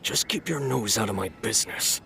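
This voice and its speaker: A defiant petty male crook in a video game. The voice is creaky and swings widely in pitch as he blusters and argues, over faint noise. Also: animated, fairly quick. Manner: hesitant, blustering, argumentative. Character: shifty, defiant male small-time buyer of illicit goods. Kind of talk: English video game voice acting.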